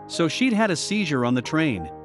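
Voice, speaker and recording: A man narrates a scripted story in a dramatic storytelling manner. His voice sits a little high and moves up and down with very animated intonation. A music bed plays clearly behind him. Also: moderate pace.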